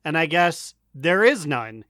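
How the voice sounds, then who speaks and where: A man with a fairly high, sharp and bright voice talks on a casual gaming podcast. The sound is dry and close, and his delivery is wry and jokey. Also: big pitch swings, a little slow, no room sound. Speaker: podcast co-host. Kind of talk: casual chat about a video game.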